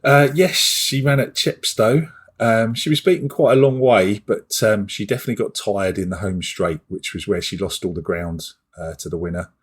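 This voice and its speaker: A man co-hosting a podcast, croaky from a cough, talking casually with a lot of ums and uhs. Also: fairly low pitch, normal speed. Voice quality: husky. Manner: hesitant, many ums and uhs. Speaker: podcast co-host.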